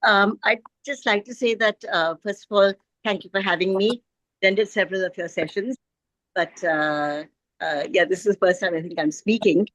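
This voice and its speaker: A woman's voice: mid-range voice, moderate pace, a bit bright, dry recording, highs cut off. A woman with a mid-range voice shares thoughts in a group discussion. She is polite and reflective, framing ideas as open questions. The sound is dry, with very little room in it. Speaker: book club participant.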